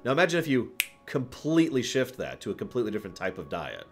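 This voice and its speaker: A man hosts a casual livestream, thinking out loud as he answers his viewers. His pitch moves around a lot, lively and expressive, and he readily acts out his examples. Music plays behind his voice. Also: quick pace. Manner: animated, chuckles through his points, acts out examples. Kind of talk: casual off-the-cuff livestream commentary.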